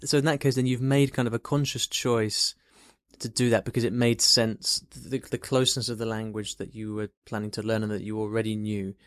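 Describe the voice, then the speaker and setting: A man's voice: on the low side, little pitch movement, fairly quick, dry recording, studio quality. A man hosting a podcast interview, recapping a listener question and asking friendly follow-ups. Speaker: podcast host.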